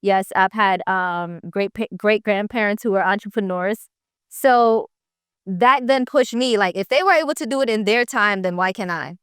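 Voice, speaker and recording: A woman from Jamaica, a young entrepreneur, talking in a relaxed, upbeat interview and often asking "right?" as she makes her point. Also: medium pitch, normal speed, clean tone, dry recording, studio quality. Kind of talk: casual interview.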